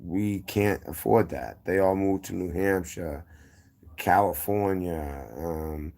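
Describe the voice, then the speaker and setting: A man's voice: low voice, slow, darkish tone. A man with a strong Boston accent, from the city's inner neighborhoods, telling his story as a guest in a rambling, tangent-filled way that keeps circling back and correcting itself. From inner-city Boston. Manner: rambling, drifting between tangents and correcting himself. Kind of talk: casual storytelling.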